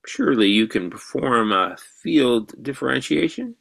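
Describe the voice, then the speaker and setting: An actor playing an elderly, intense mathematician who quizzes his pupil in a deliberate professorial way in a radio drama. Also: medium pitch, expressive, vocal fry, fairly bright tone, exaggerated voice, poor audio. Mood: brisk, testing. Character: elderly, intense mathematician mentor, excited and probing.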